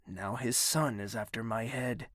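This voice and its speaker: A dry, close recording of a man acting as a young villain prince in an audio drama. His voice sits mid-range, and he speaks formally and deliberately, with imperious, bitter command. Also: mid-range voice, fairly bright tone, no room sound, close to an everyday voice. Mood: proud, bitter.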